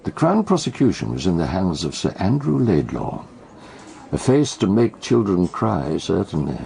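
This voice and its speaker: A shrewd British detective in a radio mystery. His voice is mellow and creaky, with a widely moving melody. He is dry, deliberate and probing, quick to correct. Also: sits low, huge pitch swings, exaggerated voice. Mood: wry, narrating.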